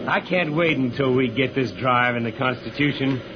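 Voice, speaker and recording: A man's voice: mid-range voice, moderate pace, close to an everyday voice. A man acting a cheerful, enthusiastic, folksy space traveler in an old radio serial. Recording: muffled old radio, heavy background noise.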